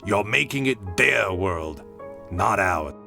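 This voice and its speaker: A man voicing a gruff, skeptical government agent in a comic dub, blunt and slangy. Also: fairly low pitch, lively intonation, takes it slowly, bright, forceful tone, faint music behind, full character voice.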